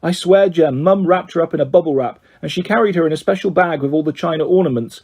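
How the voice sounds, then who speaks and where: A man's voice: fairly high pitch, quick pace, darkish tone. A man voicing a sweet, sad young girl with a gushing, childlike, tender manner in a children's story. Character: young girl, sweet sad best friend who moved away.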